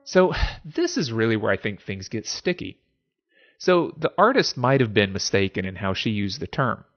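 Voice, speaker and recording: A man's voice: medium pitch, lo-fi sound. A man giving an informal explanatory monologue as a host, conversational and musing, often posing rhetorical questions. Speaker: host of a philosophy series.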